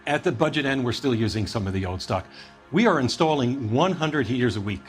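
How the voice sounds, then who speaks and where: Defensive man acting as a company boss, medium pitch. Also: expressive, brisk, soft background music, close to an everyday voice. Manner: matter-of-fact, defensive. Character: defensive, harried male heater company boss. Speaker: heater company manager. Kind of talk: video game dramatic acting.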